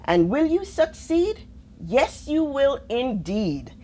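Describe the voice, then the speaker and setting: A woman reading a children's picture book aloud in a deliberate, expressive way, with pauses between phrases. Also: sits high. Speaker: storybook reader, army officer.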